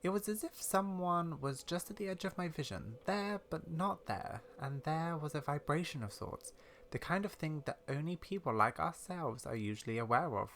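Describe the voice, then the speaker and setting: A man voicing a kindly, secretive old witch granny in a game, with measured, theatrical, old-fashioned speech. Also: a little high, expressive, a bit bright, voice pushed up. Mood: mysterious. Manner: measured, mysterious storytelling with fond, old-fashioned turns of phrase. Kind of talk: game dialogue read aloud in character.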